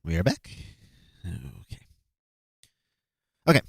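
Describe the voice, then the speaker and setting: A man's voice: deep voice, wildly swooping pitch, takes it slowly, vocal fry, breathy edge, dry recording, clean studio sound. A man hosting a live stream, judging beatbox entries and thinking aloud with hesitant fillers and restarts. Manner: calculating aloud while reviewing clips. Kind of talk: casual live-stream commentary.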